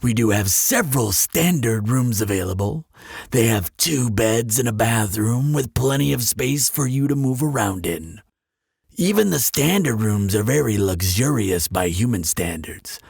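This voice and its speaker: A man's voice: mid-range voice, lively intonation, unhurried, vocal fry, darkish tone, breathy edge, no room sound, studio quality, full character voice. A man voicing a courteous, eager demon hotel receptionist in an audio roleplay, speaking in polished, salesmanlike customer-service patter. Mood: smooth, assuring. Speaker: hotel concierge character. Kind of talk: scripted audio roleplay addressed to the listener.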